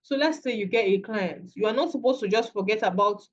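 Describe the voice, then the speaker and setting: A woman's voice: medium pitch, fairly quick, lo-fi sound. A woman with a Nigerian accent, teaching a masterclass in a conversational way and restarting her phrases often. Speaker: virtual assistant trainer. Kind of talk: masterclass lecture.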